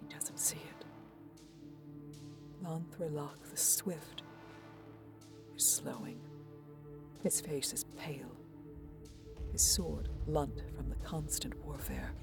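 A solemn elf woman, recalls an ancient war in hushed, poetic narration. Her low voice moves slowly over clearly audible music. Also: exaggerated voice. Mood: hushed worry. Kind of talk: scripted first-person audio drama narration.

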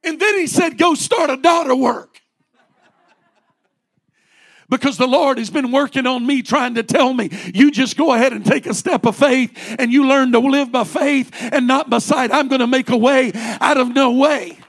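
A man's voice: very high voice, normal speed. A man in his late forties, a pastor preaching a fervent sermon with rising repeated refrains. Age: 40s.

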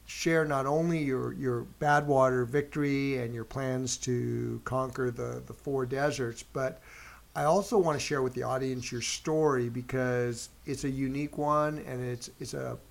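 Gravelly male host, halting speech. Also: mid-range voice, steady tone. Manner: halting, stumbling restarts. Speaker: interviewer host. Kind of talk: casual interview chat.

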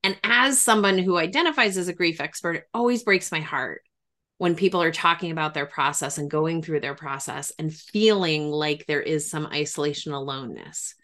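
A middle-aged woman with a fairly low voice talks in a reflective interview. She sounds like an earnest therapist thinking aloud, leaning on key phrases to make them land. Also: moderate pace, fairly bright tone. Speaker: therapist and grief expert.

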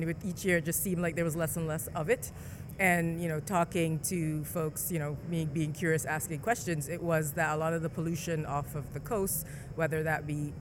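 A woman from the Caribbean, mostly Jamaica, as an engineer guest telling relaxed stories full of fillers. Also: low-pitched, noisy background. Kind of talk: conversational interview.

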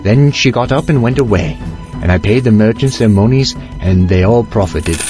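A man's voice: lowish voice, animated, moderate pace, music in the background. A man narrating an audio drama in the first person as a young merchant, with theatrical, archaic storybook diction.

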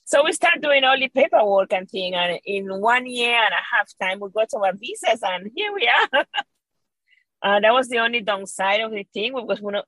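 A woman's voice: on the high side, lively intonation, normal speed, no echo, rough recording. A woman from Venezuela with a Venezuelan Spanish accent, talking about wine as a guest in a casual interview, enthusiastic and laughing often. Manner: warm, enthusiastic, laughs often, restarts phrases. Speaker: podcast guest, wine educator.

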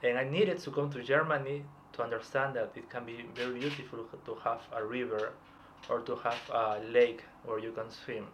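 A man in his thirties from Peru, talking in a relaxed, hesitant way as a podcast interview guest. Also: medium pitch, normal speed, a little hiss or hum. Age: 30s. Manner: reflective, hesitant, with light laughs. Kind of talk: casual personal interview.

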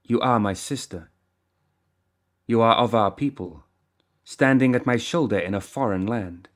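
A man voicing a composed, commanding woman who leads a crime syndicate, stern yet compassionate, speaking in a measured, formal way in an audiobook. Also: mid-range voice, natural voice. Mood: gentle authority. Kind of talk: audiobook character acting.

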